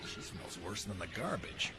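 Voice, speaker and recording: An actor playing a sardonic, protective space smuggler in a sci-fi audio drama, casual but quick to bark. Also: male voice, fairly low pitch, sing-song. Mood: grumbling, wry. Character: wry, grumbling male smuggler, brave protective husband. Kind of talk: sci-fi radio drama acting. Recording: noisy sound effects and ambience.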